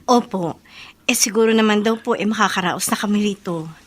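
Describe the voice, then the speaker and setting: A woman's voice: mid-range voice, a little fast, lightly acted. An actress playing a troubled, guarded wife speaking respectfully and hesitantly to her mother in a radio drama, with a Filipino accent. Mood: subdued, hesitant. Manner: hesitant, polite.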